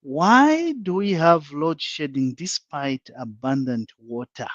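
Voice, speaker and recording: Zambian guy on a live panel, frank and emphatic, kinda high voice, dull dry call sound. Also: big pitch swings, slow, fairly bright tone, dry recording. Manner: frank, emphatic, builds points step by step. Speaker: panel guest. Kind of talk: live online panel discussion.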